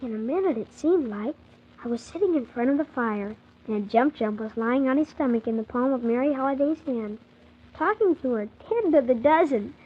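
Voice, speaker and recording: Young boy narrator, high voice, earnest and polite; muffled, hissy 1940s radio storytelling. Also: normal speed, slightly rough. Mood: wondering. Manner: animated, earnest, wondering narration.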